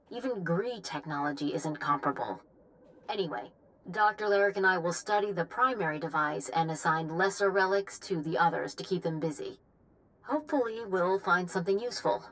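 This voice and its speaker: A woman's voice: lowish voice, fairly bright tone, poor audio. A woman playing a dry, impatient scientist dictating a research log in a game, matter-of-fact and a little disdainful.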